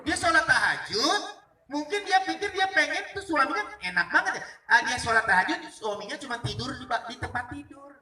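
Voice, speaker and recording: A man telling anecdotes in an expressive, theatrical way to listeners. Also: very high voice, a little fast. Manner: expressive, acts out dialogue. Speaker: storyteller speaking to an audience. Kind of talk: animated anecdotal storytelling. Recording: roomy, distant mic with faint noise.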